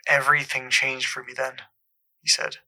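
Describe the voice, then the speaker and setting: An actor playing a troubled caller in an audio drama, haltingly and earnestly recounting an eerie supernatural memory. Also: male voice, medium pitch, monotone, pushed and bright, rough recording. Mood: troubled, tense.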